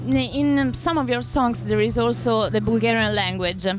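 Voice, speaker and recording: A woman's voice: a little high, fairly even intonation, moderate pace. A woman from Italy, an interviewer asking questions hesitantly with many fillers. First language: Italian. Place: festival backstage. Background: loud music.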